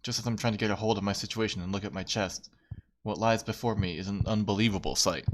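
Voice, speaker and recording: A man's voice: lowish voice, quick pace, a little hiss or hum. A man streaming a game, reading aloud and giving casual commentary.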